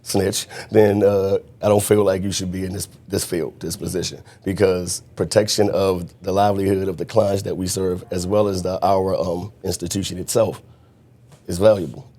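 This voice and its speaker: A man being interviewed as a mentor, talking in an earnest, reflective way with frequent restarts and a naturally loud voice. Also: deep voice, level tone, moderate pace. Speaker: guest mentor. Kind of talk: conversational interview.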